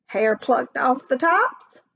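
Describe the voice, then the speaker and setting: Woman, higher-pitched voice, unhurried storybook read-aloud, expressive phrasing, dull lo-fi recording. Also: gravelly. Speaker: teacher reading aloud to students.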